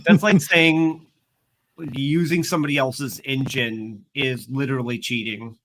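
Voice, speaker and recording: A man's voice: medium pitch, fairly even intonation, measured pace, a bit bright, rough recording. A man chatting casually as a panelist on a livestream. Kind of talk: casual live panel chat.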